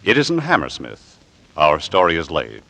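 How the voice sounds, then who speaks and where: Deep, measured male radio drama narrator. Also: wildly swooping pitch, creaky, pushed and bright. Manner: measured, formal. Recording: vintage radio broadcast with music behind. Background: music.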